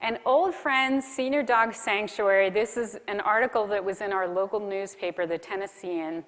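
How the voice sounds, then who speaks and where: A woman's voice: mid-range voice, normal speed. Woman presenting in a reverberant hall. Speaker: keynote speaker. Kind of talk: conference presentation on marketing to a live audience. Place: large conference room.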